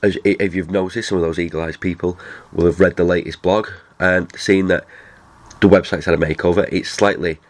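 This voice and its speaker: A man with a very deep voice hosts a casual podcast. His voice is nasal from a cold. He rambles and restarts his sentences. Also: fairly even intonation, fairly quick. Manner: rambling, chatty, many restarts. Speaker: podcast host. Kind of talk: casual chat.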